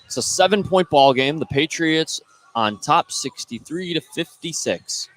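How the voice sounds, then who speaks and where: A man's voice: on the high side, expressive, measured pace, fairly bright tone. A man doing live play-by-play radio commentary of a basketball game.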